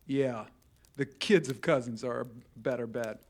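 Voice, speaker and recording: A man's voice: mid-range voice, measured pace, natural voice. A man playing a cocky teenage boy who cracks sarcastic jokes. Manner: joking, sarcastic.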